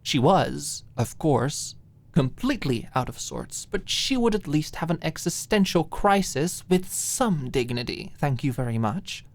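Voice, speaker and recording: A woman narrating scripted fiction as an ASMR audiobook, with expressive, dramatic storytelling. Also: sits low, a little slow.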